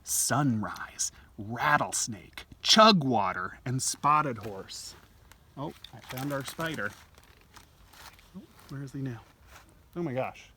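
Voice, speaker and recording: A man hosting a storytime, gently reading a picture book aloud to a small child with chatty asides. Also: medium pitch, animated. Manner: gentle, unhurried, explaining things to a small child. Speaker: library storytime host. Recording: distant home-video mic with faint noise.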